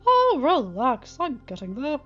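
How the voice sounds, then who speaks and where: A woman's voice: high voice, huge pitch swings, measured pace, a bit bright, voice pushed up, exaggerated voice. A woman voicing a mischievous male chaos spirit, teasing and drawn out. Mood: lazy. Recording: slightly muffled with faint music.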